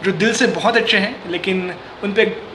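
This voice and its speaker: A man's voice: high voice, normal speed. A young man with an Indian accent answering interview questions conversationally, explaining things and slipping in English phrases. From Mumbai, India. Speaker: interview guest, filmmaker. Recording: echoey room with faint noise.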